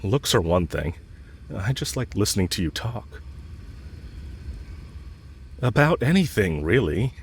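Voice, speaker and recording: Man playing a low-voiced, smitten, teasing villain. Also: sing-song, a little slow, long pauses, slight background noise. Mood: fond, flirtatious. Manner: casual, teasing, frank. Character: smitten male villain, cocky, teasing, bluntly confessing love. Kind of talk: romantic audio roleplay, spoken intimately to the listener.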